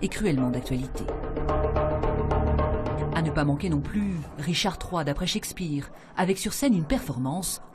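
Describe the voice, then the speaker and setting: A woman, reading a scripted news voice-over in a measured, polished way. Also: sits low, expressive, loud music behind the voice. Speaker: news reporter.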